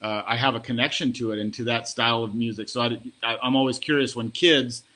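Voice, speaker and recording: A man interviewing musicians in a relaxed, curious, conversational way. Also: medium pitch, brisk. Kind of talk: casual interview chat.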